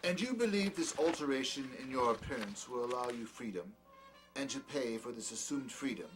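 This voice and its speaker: A haughty, smooth-talking doctor played by a male actor in an audio drama. His formal, wordy speech swings animatedly in pitch, courteous on top and scornful underneath. Music plays behind him. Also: expressive, a little fast, close to an everyday voice. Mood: condescending, probing. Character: peculiar, condescending male doctor, smoothly charming yet scornful. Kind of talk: dramatic dialogue in an audio play or table reading.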